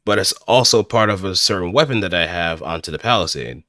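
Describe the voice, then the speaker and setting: Deep-voiced man rambling on a livestream. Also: a little fast, pushed and bright, no echo, studio quality. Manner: hesitant, thinking aloud, improvising as he goes. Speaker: streamer. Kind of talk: casual rambling livestream commentary.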